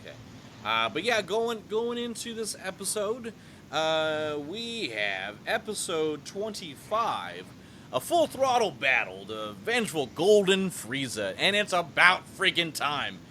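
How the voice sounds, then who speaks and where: Animated podcast host, bright voice. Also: male voice, on the high side, a little slow, slight background noise. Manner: animated, swears casually, laughs often. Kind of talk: casual podcast chat.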